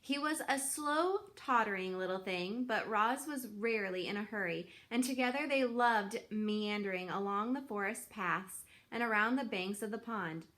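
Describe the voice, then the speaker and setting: A woman narrating a children's book aloud in an expressive storyteller style. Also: medium pitch, moderate pace, hardly any pauses.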